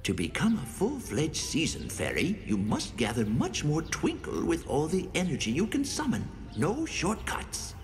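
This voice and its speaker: An actor playing an excitable, theatrical old fairy elder in an English anime dub. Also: medium pitch, normal speed, hardly any pauses. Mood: stern. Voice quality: swooping, theatrical delivery. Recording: music behind.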